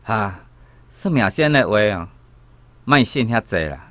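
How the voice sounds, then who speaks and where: A man with a Taiwanese accent playing a reasonable gentleman, a placating father in a radio drama. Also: on the low side, expressive, no room sound. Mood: placating, advising. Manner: measured, reasoning.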